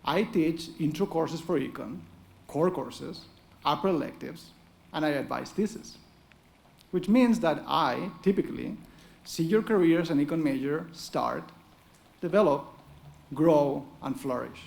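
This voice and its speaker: A man's voice: fairly high pitch, unhurried, gravelly, darkish tone. A middle-aged man with a Spanish accent, an economics professor delivering an earnest, encouraging ceremonial speech with touches of dry humor at a podium microphone. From Spain.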